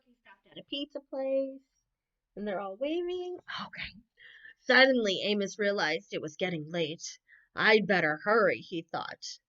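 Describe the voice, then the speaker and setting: A woman reading children's bedtime stories aloud in an expressive storytelling manner, with exclamations and asides. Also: on the high side, dull audio. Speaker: camp unit head reading bedtime stories. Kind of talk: animated picture-book read-aloud for children.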